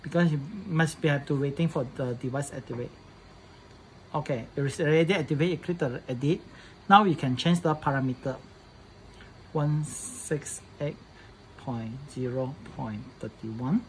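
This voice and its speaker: A man presenting a casual hands-on setup tutorial in halting English, often repeating his phrases. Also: medium pitch, a little hiss or hum, rough recording.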